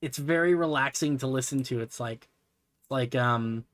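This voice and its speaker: Soft-spoken man rambling on stream. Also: medium pitch, normal speed. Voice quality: soft, relaxing voice. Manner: rambling, self-deprecating, many fillers. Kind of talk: casual livestream chat while building.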